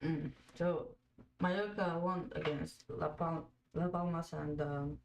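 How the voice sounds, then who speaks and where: A woman's voice: low-pitched, steady tone, slow pace, vocal fry, a little hiss or hum, rough recording. A woman in her twenties, a Croatian native speaker, hosting a casual fan watch-along and chatting with viewers, laughing often as she reads out their comments. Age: 20s. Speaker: fan panel host.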